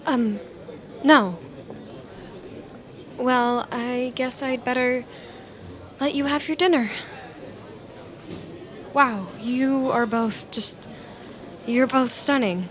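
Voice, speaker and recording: An actress playing an anxious, jealous, scheming young woman in an audio drama, halting and brooding in manner. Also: on the high side, a bit bright. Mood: wounded, awkward. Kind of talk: audio drama acting with muttered monologue. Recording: music bed behind the voice.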